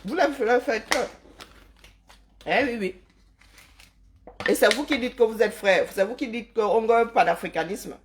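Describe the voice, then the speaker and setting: A woman's voice: fairly high pitch, measured pace, long pauses, slight background noise. A woman from Cameroon, hosting a live stream and lecturing her viewers emphatically, with rhetorical questions and tag words like "hein". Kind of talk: opinionated live-stream monologue.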